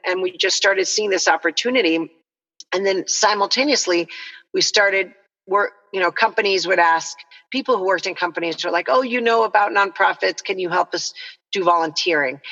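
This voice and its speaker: A middle-aged woman from El Salvador talks conversationally as an interview guest, explaining things earnestly and reflectively. Her voice is bright and forward with noticeable creak. The recording is reverberant and a little dull, like an online call. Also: lowish voice. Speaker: nonprofit co-founder, podcast guest.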